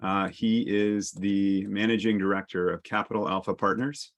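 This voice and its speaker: Guy with a lowish voice hosting a video call panel, thanking guests. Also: fairly even intonation, normal speed. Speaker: moderator.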